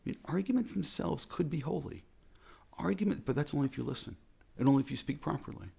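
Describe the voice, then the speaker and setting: A man with an American accent, a rabbi giving an earnest, measured reflective talk that repeats phrases for emphasis. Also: fairly high pitch, animated, vocal fry, darkish tone, husky. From United States.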